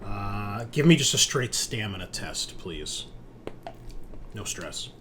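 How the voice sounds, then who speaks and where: Low-voiced male tabletop game master. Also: lively intonation, slow, a bit bright. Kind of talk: improvised tabletop game narration. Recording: faint room noise.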